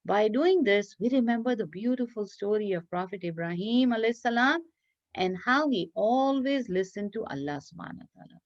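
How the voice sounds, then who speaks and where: A woman telling a story and teaching children in an expressive, encouraging, teacherly way over a video call. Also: medium pitch, a little fast. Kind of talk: read-aloud storytelling and quizzing children in an online class. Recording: dull lo-fi online class audio.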